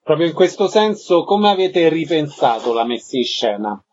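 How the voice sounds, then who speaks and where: Higher-pitched male radio host, slightly dull sound. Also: big pitch swings, moderate pace, a little mellow, slight background noise. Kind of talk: radio arts programme presenting.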